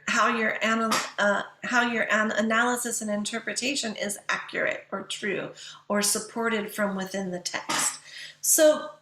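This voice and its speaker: A woman teacher giving a lecture to students, stressing key points emphatically and slowing down on them. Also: a little high, pushed and bright, roomy sound, low-quality recording. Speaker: English professor teaching writing.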